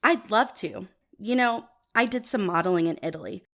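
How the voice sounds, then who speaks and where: A woman's voice: mid-range voice, normal speed, fairly bright tone, close to an everyday voice. An actress playing a snobby, pretentious, airheaded young woman with a condescending, affected manner in an audio drama. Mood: breezy, self-important. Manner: condescending, affected, valley-girl filler words.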